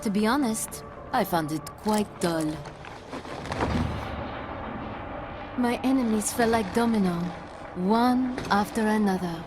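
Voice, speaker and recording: An actress playing a cold, world-weary French-Algerian mercenary with a French accent, reflective and taunting in a video game cutscene. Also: female voice, medium pitch, close to an everyday voice. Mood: wistful, intense. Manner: slow, reflective, coolly menacing. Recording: loud music bed.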